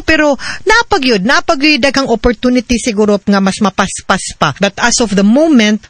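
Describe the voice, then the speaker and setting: A woman's voice: mid-range voice, no echo, clean studio sound. A woman lawyer on a radio advice program, patiently explaining legal steps and often ending her points with a questioning "no?".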